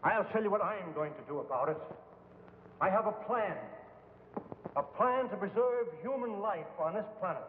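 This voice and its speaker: A man's voice: high-pitched, lively intonation, normal speed, in a large hall. A man playing an embattled, earnest scientist in 1950s film style, speaking in a formal, declamatory way. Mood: urgent, foreboding. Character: embattled, earnest male scientist pleading to save mankind. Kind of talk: 1950s film acting, oratorical. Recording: hollow, tinny old film soundtrack, hiss.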